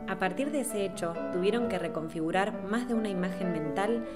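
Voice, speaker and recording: A woman's voice: mid-range voice, fairly quick. A woman reading a scripted podcast introduction as host. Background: music.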